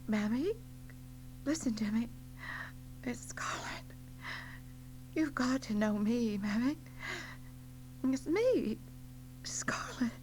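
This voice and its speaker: A young Southern woman, willful and grieving, acted by a female narrator, pleads slowly and breathily. Her pitch sits well above the storyteller's, in dramatic audiobook style on a noisy recording with hum. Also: animated, a little mellow. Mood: desperate pleading. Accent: American South. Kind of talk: dramatic audiobook character acting.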